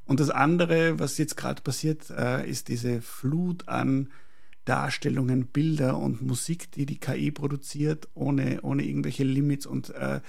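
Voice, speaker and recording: A man from Prague, speaking as an architect in a reflective interview, deliberate and searching for words. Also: mid-range voice, steady tone, gravelly, darkish tone. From Prague, Czechoslovakia, later schooled in Austria.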